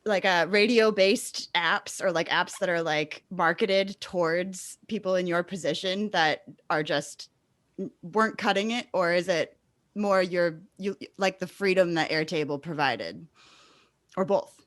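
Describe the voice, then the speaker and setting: A woman's voice: fairly low pitch, unhurried, fairly bright tone, no echo. A woman hosting a casual podcast interview, informal and encouraging in the way she talks.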